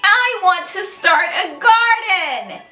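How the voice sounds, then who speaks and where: Woman playing an eager high-pitched little boy. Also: slow, reverberant, soft background music, heavily acted. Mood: excited. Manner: excited, earnest, exclaiming. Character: eager, enthusiastic young schoolboy gardener.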